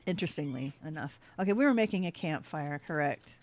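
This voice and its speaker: A woman's voice: deep voice, expressive, quick pace. A woman streaming a game, chatting casually with her viewers, easily sidetracked and laughing often. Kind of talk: casual livestream chat while playing.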